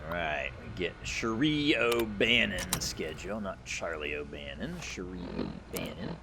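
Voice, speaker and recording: A man's voice: low-pitched, animated, unhurried, vocal fry, noisy background. A man giving casual gameplay commentary as host of a gaming video, musing aloud as he plays. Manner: muses aloud while playing.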